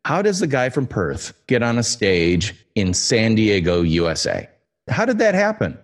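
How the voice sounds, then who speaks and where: Lively low-voiced podcast host. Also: male voice, measured pace. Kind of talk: podcast interview and ad read.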